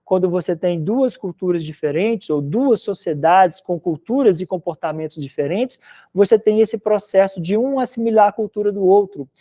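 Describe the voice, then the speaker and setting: A man's voice: high-pitched, normal speed, warm tone. A man from Minas Gerais with a mineiro accent, lecturing a philosophy class in a loose, conversational way full of tag questions. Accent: Minas Gerais Portuguese. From Minas Gerais, Brazil. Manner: rambling, conversational, frequent "né?" tags. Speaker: philosophy teacher. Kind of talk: recorded online class lecture.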